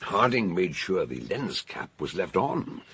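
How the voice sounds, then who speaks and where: An actor playing a jovial, commanding older detective in a British radio mystery, with a British accent, a gruff voice and a theatrical, expansive way of explaining. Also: male voice, low-pitched, big pitch swings, a little slow, a bit bright, exaggerated voice. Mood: shrewd, explaining. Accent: British English.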